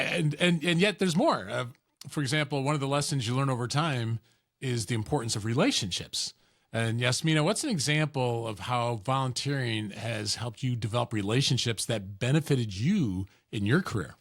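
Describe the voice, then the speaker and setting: A man's voice: medium pitch, fairly bright tone. A man hosting an interview podcast, thoughtful and conversational, often restarting his sentences. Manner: thoughtful, with frequent restarts and affirmations. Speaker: podcast host.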